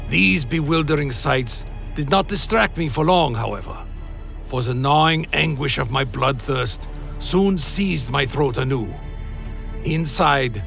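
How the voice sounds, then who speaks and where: A man's voice: medium pitch, gravelly, fairly bright tone. A man playing a brooding, bloodthirsty undead vampire fledgling, narrating a gothic radio play in a solemn, literary manner. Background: music.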